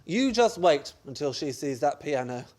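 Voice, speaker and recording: A man's voice: on the high side, big pitch swings, normal speed, background noise. A man acting an exasperated, scheming husband in a radio play farce, with a blustering, theatrical delivery. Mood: confident, scheming.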